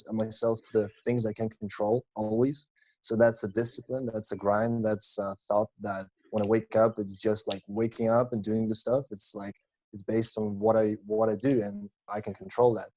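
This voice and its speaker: A young man from Lithuania, a guest chatting casually on a podcast in a relaxed, laid-back way. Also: fairly low pitch, low-quality recording. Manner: relaxed, laid-back, calls people bro. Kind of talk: casual chat.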